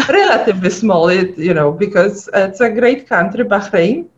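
A woman's voice: mid-range voice, animated, normal speed. A middle-aged woman from Poland with a Polish accent, a guest pathologist chatting and telling stories in an interview. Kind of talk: casual interview chat. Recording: dull lo-fi audio.

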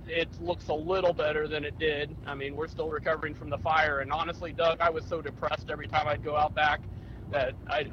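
Easygoing guy in his car, kinda high voice, muffled sound, lots of road noise. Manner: easygoing, candid, with frequent fillers. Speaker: podcast co-host. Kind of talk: casual chat. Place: moving car.

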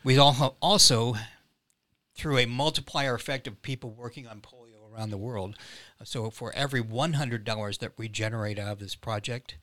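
Male interview guest, bright and crisp-edged tone, very dry sound, explains things conversationally with halting asides. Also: medium pitch, no room sound. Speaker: playwright guest.

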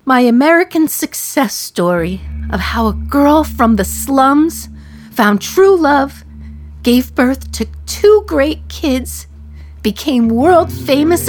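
An actress playing a condemned woman in her thirties, speaking slowly and reflectively with a wry edge. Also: sits high. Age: 30s. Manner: slow reflective delivery, wry humor. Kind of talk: radio play monologue.

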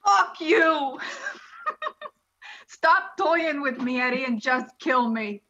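Brash, taunting gangster moll who snitches played by a woman, high and forceful, drawn-out delivery. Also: animated, lo-fi sound, slight background noise, exaggerated voice. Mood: defiant, taunting. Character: defiant, tough-talking female gangland snitch. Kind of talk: 1930s gangster movie parody acting.

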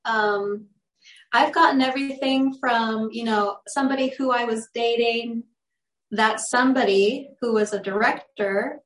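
A woman's voice: on the high side, steady tone, unhurried, a bit bright. A young woman, a guest on an interview show, chatting casually in an upbeat way and telling stories. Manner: upbeat, laughs easily, storytelling. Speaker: guest, actress and model. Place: room with audible airplane noise outside. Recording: echoey room.

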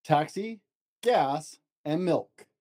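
A man's voice: fairly high pitch, animated, extremely slow, a little mellow. A man voicing a sassy, stubborn, clever little sister in a storybook, cocky and insistent. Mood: proud.

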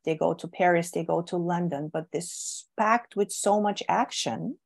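A woman's voice: fairly low pitch, darkish tone. A woman from Bulgaria, Bulgarian her first language, answering interview questions in a thoughtful way with many ums and restarts. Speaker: guest. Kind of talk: relaxed podcast interview.

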